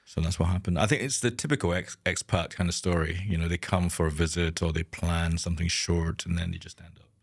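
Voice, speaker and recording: A man from Scotland with a very low, deep voice, speaking as an interview guest. He talks hesitantly, repeating words and trailing off to a near murmur at the ends of his thoughts. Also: fairly even intonation, a little fast, few pauses, slightly rough. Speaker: guest architect. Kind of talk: conversational interview.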